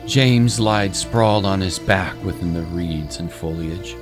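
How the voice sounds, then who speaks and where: A man narrating a scripted dramatic story in a solemn, deliberate way. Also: sits low. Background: clear music.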